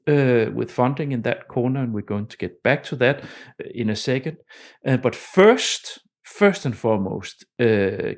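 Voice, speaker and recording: A man speaks as a podcast host at a middle pitch. His delivery is informal and conversational, and somewhat halting, with repeated words and restarts as he addresses guest and listeners. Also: creaky.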